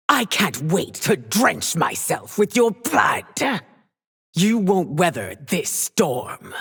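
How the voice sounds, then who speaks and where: An actress playing a haughty, menacing warrior queen in a video game, husky and theatrical, taunting imperiously. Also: low-pitched, in a large hall, full character voice. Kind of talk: video game character voice lines.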